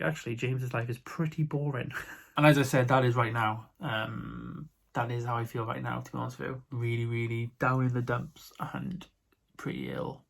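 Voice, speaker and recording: A young British man who sounds stuffed up from hay fever, chatting casually to camera at home as a vlogger, rambling with lots of fillers. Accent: British English. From United Kingdom. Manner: rambling, filler-heavy, low-key. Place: at home, indoors.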